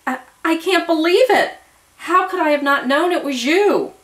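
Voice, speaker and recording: A woman's voice: very high voice, lively intonation, normal speed, voice pushed up. Woman acting an emotional, earnest woman in love. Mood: shocked.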